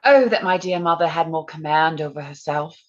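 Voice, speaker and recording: Actress as a gentle period sister, earnest, polite, lowish pitch, muffled lo-fi sound. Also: female voice, fairly quick, natural voice. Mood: wistful. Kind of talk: stage-play reading, period drama. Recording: slightly dull, lo-fi audio.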